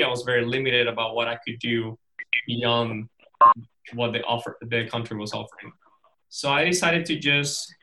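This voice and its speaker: A man's voice: mid-range voice, pushed and bright, low-quality recording. A middle-aged man from Venezuela, a guest speaker telling the story of his career to an audience. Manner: storytelling, with restarts and laughs. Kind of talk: personal story talk to an audience.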